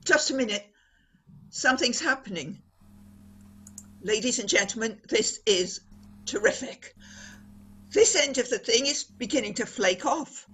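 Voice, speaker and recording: An actress playing a radio reporter in a radio play, describing the scene formally and dramatically, as if live on air. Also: female voice, a little high, animated, a little slow, long pauses, fairly bright tone, slightly rough, exaggerated voice. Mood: rising alarm. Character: woman radio reporter, breathless and awestruck at a scene. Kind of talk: radio play acting as live news commentary.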